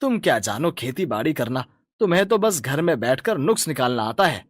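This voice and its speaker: Man acting boastful, stubborn greedy village farmer, animated. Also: mid-range voice, brisk, dry recording, clean studio sound. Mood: scornful. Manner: dismissive, boastful, colloquial rustic talk. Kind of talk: Hindi cartoon moral story voice acting.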